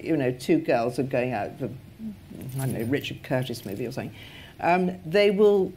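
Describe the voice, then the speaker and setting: A woman chairing a festival panel discussion, talking in a halting, wry way with many pauses and restarts. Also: a bass voice, animated, warm tone, slight background noise. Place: festival stage.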